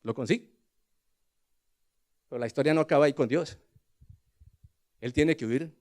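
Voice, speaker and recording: A man in his sixties with a Colombian accent preaching a sermon, telling scripture as a dramatic story and moving between hushed asides and loud, emphatic declarations. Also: fairly high pitch, moderate pace. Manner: rhetorical questions to the congregation.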